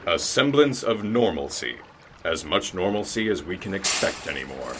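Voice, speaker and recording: An actor playing a genial, folksy narrator, delivering scripted radio drama narration in a theatrical way. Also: male voice, sits low, expressive, normal speed, noisy background. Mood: storytelling.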